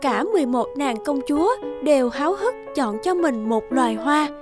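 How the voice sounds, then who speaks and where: A woman's voice: high voice, lively intonation, moderate pace. A woman narrator reading a scripted fairy tale as a storyteller. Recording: music behind the voice.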